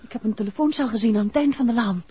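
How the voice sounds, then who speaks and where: An actress playing a concerned, practical wife of a detective in a 1960s radio drama. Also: female voice, on the high side, racing, warm tone, husky. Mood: worried. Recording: dull, noisy vintage radio recording.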